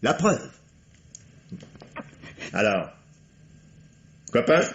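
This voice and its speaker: An actor playing a cold, sarcastic lover, cutting and theatrical, in a French vintage radio drama. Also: male voice, medium pitch, sing-song, drawn-out words, exaggerated voice. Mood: mocking. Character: cold, mocking, cruel male lover eager to leave. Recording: dull vintage radio recording.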